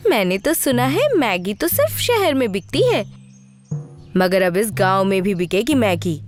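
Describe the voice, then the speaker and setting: A woman voicing an enthusiastic, gushing village woman customer in an animated story. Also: mid-range voice, a little slow, faint music behind. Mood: surprised.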